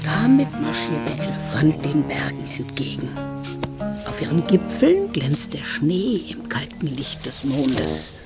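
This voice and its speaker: A woman narrates a children's audiobook in a fairly low voice. Her reading is expressive, with lots of melodic movement, and music plays behind her. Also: measured pace, creaky. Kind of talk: scripted children's story narration.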